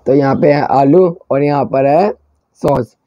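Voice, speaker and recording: A man vlogging a food challenge in a chatty way, talking to viewers as friends, with a hoarse, strained throat, recorded at home. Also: medium pitch, unhurried, dark tone, no echo, dull audio. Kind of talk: casual food-challenge vlog.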